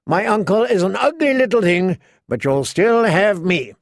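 A petulant, cruel teenage king performed by a male narrator, imperious and taunting in a storybook tale. The voice is pitched high and sounds dry, with no room around it. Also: animated, normal speed, dry recording. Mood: smug, taunting. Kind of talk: audiobook character voice.

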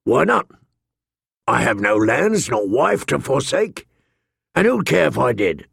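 Man acting a gruff, scarred warrior with a rasp. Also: big pitch swings, unhurried, a bit bright, no room sound, clean studio sound, heavily acted. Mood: bitter, indifferent. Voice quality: deep rasp. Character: scarred, surly sworn-shield warrior, gruff and defiant.

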